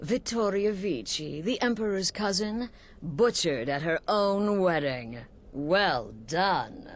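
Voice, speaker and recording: An actress playing a cool, calculating female leader of an assassins' guild in a fantasy game, deliberate and conspiratorial. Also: lowish voice, animated. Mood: dark satisfaction. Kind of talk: scripted fantasy video game dialogue.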